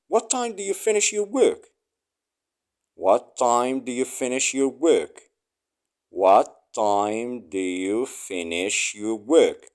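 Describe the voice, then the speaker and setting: A man reading short English phrases aloud for learners, saying each one three times slowly and clearly. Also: medium pitch, lively intonation, a little mellow.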